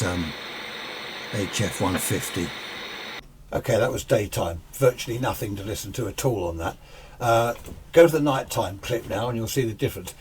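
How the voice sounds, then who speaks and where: A man's voice: fairly low pitch, a little mellow, breathy edge. A British man giving a casual, rambling, off-the-cuff demonstration as an amateur radio hobbyist in a home radio room. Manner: rambling, conversational. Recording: noisy room, radio static behind.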